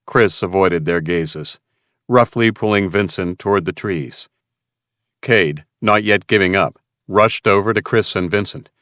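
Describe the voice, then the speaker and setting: A man with a low voice reads dramatic fiction as an audiobook narrator. The sound is very dry, with no room around the scripted narration. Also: unhurried, a bit bright, clean tone, dry recording.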